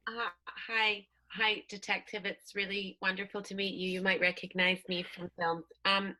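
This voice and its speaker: A woman's voice: medium pitch, little pitch movement, moderate pace, sharp tone, close to an everyday voice, poor audio. A woman playing a genteel, self-regarding 1920s film actress, tentative and mock-polite, in an improvised radio comedy. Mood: polite, incriminating.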